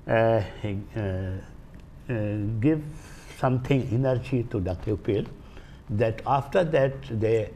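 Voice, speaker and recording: Halting older man with Indian accent, creaky voice. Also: medium pitch, a little mellow, slight background noise. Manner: halting, searching for words, repeats phrases. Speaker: guest, Vastu healer. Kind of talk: explaining in a studio interview. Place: small indoor TV studio. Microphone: lapel mic.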